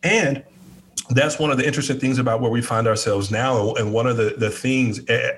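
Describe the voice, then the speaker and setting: A man from Arkansas, talking about faith in an animated, joking, preacherly way. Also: medium pitch. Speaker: podcast guest. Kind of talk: casual conversation on faith.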